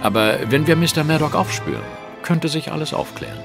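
An actor playing a shrewd, brooding master detective in a German audio drama, speaking in a measured, articulate, formal way. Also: male voice, on the high side, wildly swooping pitch, vocal fry, music in the background, heavily acted. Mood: grave, pondering.

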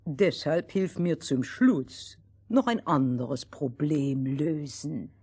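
A woman's voice: sits low, slow pace, dark tone, breathy edge, heavily acted. A woman playing a stubborn, scheming king in a children's fairy tale, commanding, pompous and drawn out. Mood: sly.

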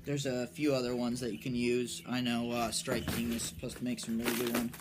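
A young man giving a casual, unscripted show-and-tell in a halting, low-key way, recorded on a porch at arm's length from the mic. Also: completely flat delivery. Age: young adult, late teens or early twenties. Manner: halting, low-key, trailing off while handling items. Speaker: amateur angler presenting his tackle. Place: covered wooden porch outdoors. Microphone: phone or camera at arm's length. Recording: noticeable outdoor background noise.

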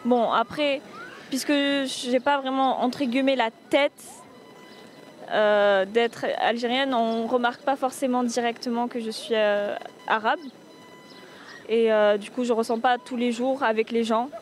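A young woman in her twenties giving a measured personal account in a street interview outdoors. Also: a little high, fairly even intonation.

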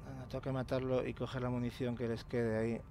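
A man's voice: mid-range voice, level tone, fairly quick, warm tone. A man commenting casually on a game he plays, swearing often. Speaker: gameplay commentator. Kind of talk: let's play commentary. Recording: voice-over with noticeable noise.

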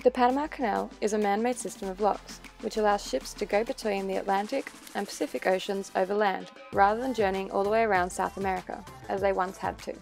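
A woman giving scripted voice-over narration for a sailing travel vlog, explaining each step clearly. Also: medium pitch, fairly even intonation, fast, few pauses, loud music behind the voice.

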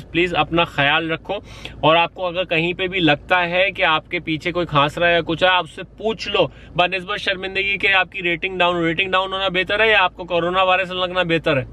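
A man's voice: high-pitched, sharp tone. A man in his thirties from Pakistan, a rideshare driver giving earnest, pleading safety tips in a casual vlog, dropping English phrases into his talk, filmed in a parked car on a phone held at arm's length. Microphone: phone or camera at arm's length.

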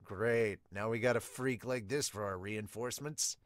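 Man doing gruff knight captain, lowered voice. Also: normal speed, no echo, clean studio sound, natural voice. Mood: grim. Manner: blunt, unimpressed. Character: gruff, foul-mouthed male knight captain.

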